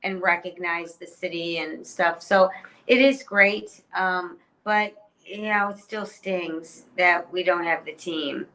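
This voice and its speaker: A woman, a former cheerleader, chatting as a guest on a video call interview, friendly and quick to laugh. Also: fairly low pitch, fairly even intonation, a bit bright, low-quality recording. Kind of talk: casual interview chat.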